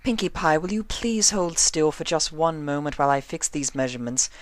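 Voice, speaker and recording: A man's voice: on the high side, animated, fairly quick, no room sound, pitched above the performer's own voice, natural voice. A man voicing a prim, fussy, bossy female pony dressmaker with affected, refined diction in a storybook reading. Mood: exasperated, bossy.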